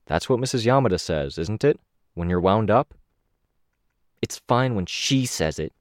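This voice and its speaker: A calm young man talks gently and softly to settle someone down, as a man reads him in an audiobook. The tone is lighter than the reader's deep natural voice but still low. Dry, close sound. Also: a little slow, no echo. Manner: soft, gentle.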